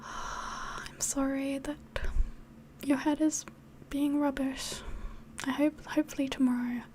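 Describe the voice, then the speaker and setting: A woman speaks in a hushed, mostly whispered ASMR style with a slightly mixed English accent. Her voice is fairly high yet mellow, and she takes her time, rambling hesitantly. A faint hiss sits behind her. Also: flat delivery, takes it slowly. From England. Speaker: ASMR livestream host. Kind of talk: soft-spoken ASMR chat, mostly whispered.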